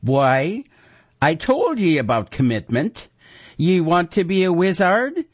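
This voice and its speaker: Guy putting on a gruff bellowing old wizard, higher than his own voice, slow and dull-sounding. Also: very animated, no room sound, exaggerated voice. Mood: gruff commanding. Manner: bellowing, archaic rustic speech. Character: gruff old male wizard, severed talking head, ruthless. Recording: dull lo-fi recording.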